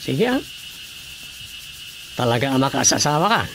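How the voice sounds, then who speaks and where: A man's voice: medium pitch, very animated, normal speed, gravelly, fairly bright tone, slight background noise. An actor playing a good-natured Filipino family man in a radio drama, with an Ilocano accent. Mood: concerned, friendly.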